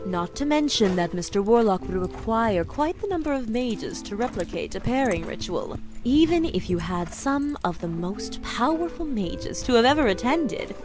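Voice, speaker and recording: A woman playing a composed, courteous mage of authority, speaking in a measured, formal manner in a visual novel. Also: a little high, big pitch swings, music in the background. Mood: firm, explanatory. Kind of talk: visual novel character acting.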